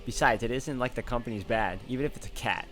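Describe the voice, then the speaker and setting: A man narrating visual novel text aloud as a let's player, in an expressive storytelling style. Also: mid-range voice, fast. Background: guitar music.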